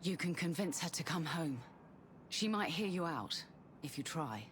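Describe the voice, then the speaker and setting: A worried middle-aged mother in a video game, guarded and pleading. Her lowish voice moves widely in pitch, with faint noise behind it. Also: lively intonation, measured pace. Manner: wary, pleading. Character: guarded, anxious middle-aged mother working under coercion. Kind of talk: video game cutscene acting.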